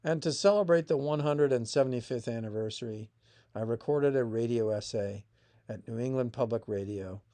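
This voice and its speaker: A man narrating a scripted piece of family history as its author, in a measured reading with emphatic phrase openings that fall away. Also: medium pitch, warm tone. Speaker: author reading own essay.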